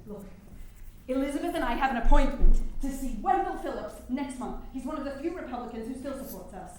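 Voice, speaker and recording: An actress playing an ardent, stubborn suffrage crusader in a staged historical drama, speaking emphatically and insistently. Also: female voice, high voice, animated, fairly quick, darkish tone. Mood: indignant. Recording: very echoey room with faint noise.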